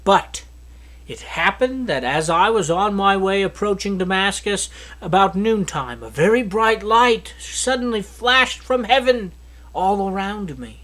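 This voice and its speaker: Male Bible reader, notably high voice, expressive scripted narration. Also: measured pace.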